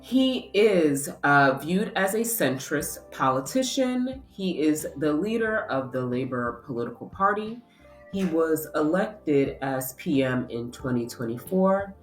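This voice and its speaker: Congested woman vlogger, low voice. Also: animated, unhurried, roomy sound, soft background music, rough recording. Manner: chatty, with frequent ums. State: congested with a cold. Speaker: vlog host. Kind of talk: casual commentary to camera.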